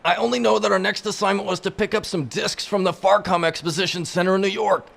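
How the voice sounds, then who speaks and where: Brief: a cowardly agent, high, gravelly, stammering excuses. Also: male voice, fairly even intonation, quick pace, fairly bright tone, full character voice. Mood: nervous. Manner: nervous, pleading, stammering. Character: cowardly male agent under interrogation, nervous.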